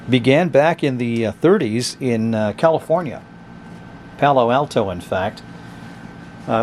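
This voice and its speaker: Casual man reviewing gadgets, noisy background hum. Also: medium pitch. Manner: hesitant, halting, conversational. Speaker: host of a vintage radio review channel. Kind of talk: casual unscripted product review narration.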